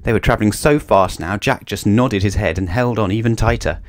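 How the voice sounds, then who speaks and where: A man reading a children's storybook aloud with expressive narration. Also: lowish voice, a little fast.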